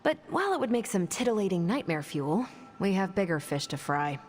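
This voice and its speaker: An actress playing a cool-headed heroine in a video game cutscene, speaking in a dry, sardonic way. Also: female voice, medium pitch, big pitch swings, a little fast, soft background music, close to an everyday voice.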